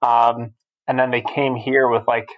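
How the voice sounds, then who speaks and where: A man's voice: medium pitch, little pitch movement, a little slow, lo-fi sound. A man in his thirties from Albania, a podcast guest talking casually and telling his story steadily. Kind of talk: casual interview chat.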